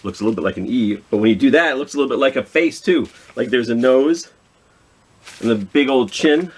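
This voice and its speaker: A man's voice: mid-range voice, a little fast, breathy edge. A man hosting a children's storytime, reading aloud with chatty asides and questions to his listeners. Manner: chatty, self-talking, asks listeners questions. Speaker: children's library storytime host.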